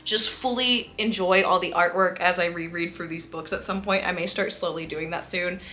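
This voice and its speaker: Bright-voiced, gushing young woman book vlogger. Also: fairly low pitch, fairly even intonation, moderate pace, slight background noise. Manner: gushing, effusive, emphatic repetition. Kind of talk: casual enthusiastic chat to camera.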